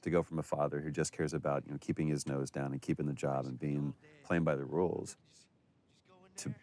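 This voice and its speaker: A man's voice: rumbling low, flat delivery, a little fast, darkish tone. A man giving casual, enthusiastic behind-the-scenes commentary as an actor looking back on his work. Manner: enthusiastic, effusive.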